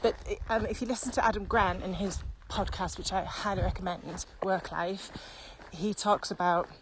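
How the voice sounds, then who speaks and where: A woman's voice: mid-range voice, fairly even intonation, moderate pace. Woman chatting, noticeably noisy recording. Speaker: podcast co-host. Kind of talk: casual podcast chat. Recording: clearly noisy background with clicks.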